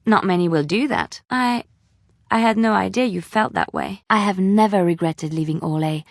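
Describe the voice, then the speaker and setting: A woman's voice: medium pitch, normal speed, vocal fry, no echo, studio quality, lightly acted. An actress voicing a devout, playful young woman adventurer in a video game, sincere and chatty in manner. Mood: tender, warm. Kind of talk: video game character dialogue.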